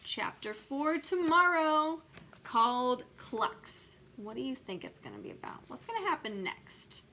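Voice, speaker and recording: A woman's voice: sits high, sing-song, measured pace, bright, forceful tone. A woman storyteller speaking expressively as she presents a bedtime story for children. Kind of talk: expressive children's read-aloud.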